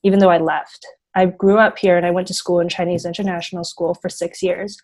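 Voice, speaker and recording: Teen girl from Hong Kong, interview guest, earnest and filler-heavy, lowish voice. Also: level tone, brisk, a little mellow. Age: teens. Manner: earnest, many fillers like "you know". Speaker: youth fund founder, guest. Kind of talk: interview answers.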